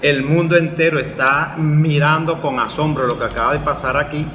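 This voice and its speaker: A man giving a grave, somewhat halting speech on a panel. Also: fairly high pitch, fairly even intonation. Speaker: panel speaker. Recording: echoey hall with loud background noise.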